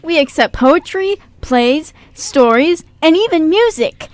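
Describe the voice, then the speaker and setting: High-voiced, playful young woman. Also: expressive, a little slow, dry recording. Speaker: radio show host and actor. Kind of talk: casual group chat. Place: radio studio.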